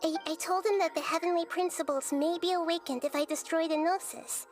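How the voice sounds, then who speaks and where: A woman voicing a gentle, wise, childlike goddess in a video game, speaking in a soft, measured, articulate way. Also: very high-pitched, steady tone, music in the background. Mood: composed, explanatory. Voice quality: soft, youthful.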